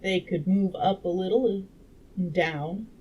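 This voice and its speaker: A woman's voice: lowish voice, slow, far from the mic, lo-fi sound. A woman reading aloud as a skeptical Victorian doctor with a questioning, contrary manner. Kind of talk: audiobook-style character reading. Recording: noisy room background.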